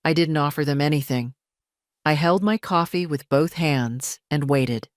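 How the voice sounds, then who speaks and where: Low-voiced woman narrating a dramatic story. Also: no room sound, studio quality. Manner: measured, deliberate, composed. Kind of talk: scripted first-person dramatic narration.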